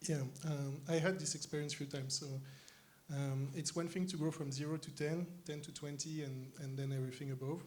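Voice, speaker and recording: French-accented young man, higher pitched, conference guest, faint hiss on the track. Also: fairly even intonation, a little mellow. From France. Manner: thoughtful, pauses often with uh and um. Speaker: interviewed guest, company co-founder. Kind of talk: conference stage interview. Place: conference arena stage.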